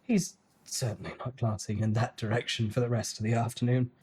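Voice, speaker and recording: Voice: mid-range voice, little pitch movement, brisk, dark tone, a little hiss or hum. A narrator giving a dramatic, scripted reading of a novel.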